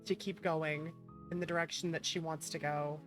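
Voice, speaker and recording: A woman's voice: low-pitched, fairly even intonation, normal speed, loud music behind the voice. A woman hosting a livestream in a casual, chatty and playful way. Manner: playful, chatty, thanks and greets viewers. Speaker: livestream host, VTuber.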